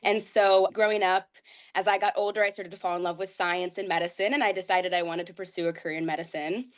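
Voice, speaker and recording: A woman's voice: on the low side, steady tone, fast. A young woman in her twenties from Ohio, a pageant titleholder telling her story in a casual interview, earnest and upbeat with a rambling flow. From Ohio, United States.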